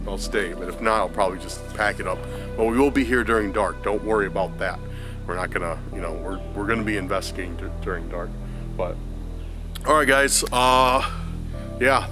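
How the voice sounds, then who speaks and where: A man with a congested voice, talking casually to his viewers outdoors in a forest, rambling with many fillers and restarts. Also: on the low side. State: congested from a cold. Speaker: paranormal vlogger. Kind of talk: casual vlog talk to camera.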